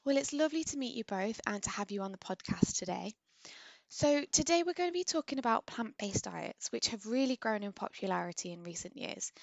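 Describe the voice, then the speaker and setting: A woman hosting a health podcast, introducing the topic and guests in a clear, structured way. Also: mid-range voice, no echo, dull audio. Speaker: podcast host.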